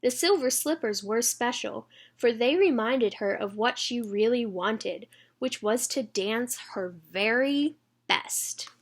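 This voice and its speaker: Female read-aloud storyteller for kids, higher-pitched voice, expressive and engaging. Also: takes it slowly. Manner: engages young listeners with questions. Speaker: children's read-aloud reader.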